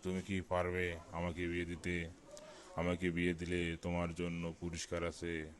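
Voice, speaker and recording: A man's voice: low-pitched, completely flat delivery, moderate pace, lightly acted. A man playing a male tiger, a jungle king eager to get married, pleading earnestly in a children's cartoon. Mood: flattering, hopeful. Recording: noisy recording with clicks.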